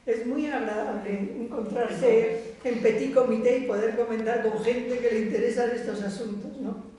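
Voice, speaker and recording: A woman's voice: fairly high pitch, fairly even intonation, moderate pace, mellow, a little hiss or hum. A woman speaking on a panel in an earnest, reflective way. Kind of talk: round-table discussion. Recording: echoey room, far from mic.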